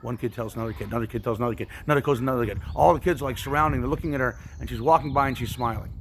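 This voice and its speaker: Male youth storyteller and teacher, colloquial and expressive, brisk pace, gravelly fry, light noise behind. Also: medium pitch. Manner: casual, colloquial, acts out examples. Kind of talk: animated religious storytelling for children.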